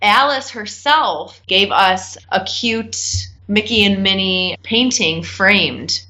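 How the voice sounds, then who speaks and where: A woman's voice: medium pitch, slow, bright, forceful tone. A woman sharing recollections in casual conversation as an interview guest. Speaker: podcast guest, bride recalling her wedding.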